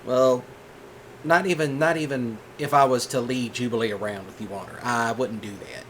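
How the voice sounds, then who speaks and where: A soft-spoken rancher, voiced by a man, gentle and patient with his partner. His drawl is folksy and unhurried, at an ordinary male pitch. A faint hiss sits under the close, quiet ASMR voice. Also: fairly even intonation, natural voice. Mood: reassuring. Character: calm reassuring male rancher boyfriend, gentle. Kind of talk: ASMR roleplay.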